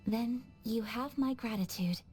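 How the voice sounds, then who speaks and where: An actress plays a gracious young noblewoman in a video game. Her voice is fairly high, with polite, measured and earnest phrasing. Music plays underneath. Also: darkish tone, natural voice. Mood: composed. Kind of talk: video game character acting. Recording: music playing behind the voice.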